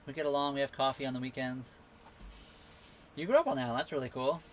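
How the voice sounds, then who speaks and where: A man from western Canada, hosting a casual live Q&A from his sailboat, reading out viewer comments and answering them in a relaxed, rambling way. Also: medium pitch, fast, a little hiss or hum. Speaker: livestream host answering viewer questions. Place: on a sailboat at a marina.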